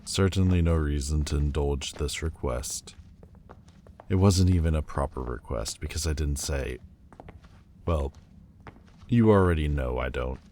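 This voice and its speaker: A man playing a proud, wounded demon lord turned mortal in a dramatic audio roleplay, speaking haughtily and theatrically with hesitant pauses. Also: very deep voice, mellow, a little hiss or hum. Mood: guarded, vulnerable. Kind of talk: dramatic ASMR roleplay monologue.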